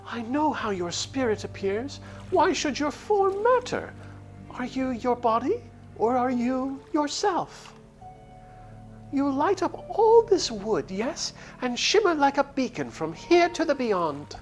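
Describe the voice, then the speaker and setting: An eager little spirit of light. The voice sits very high and swings widely in pitch. It speaks in grand, archaic phrases, wistful and emphatic. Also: female voice, big pitch swings, slow, faint music behind, heavily acted. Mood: bright, insistent. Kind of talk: theatrical read-aloud of a fantasy game character.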